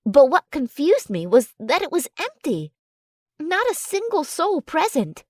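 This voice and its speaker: A woman reads a romance story with a highly animated, swooping delivery. Her voice sits fairly high, and the recording is dry and close, with an expressive storyteller's sense of drama. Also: unhurried, no echo. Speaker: audiobook narrator. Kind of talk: expressive first-person romance story reading.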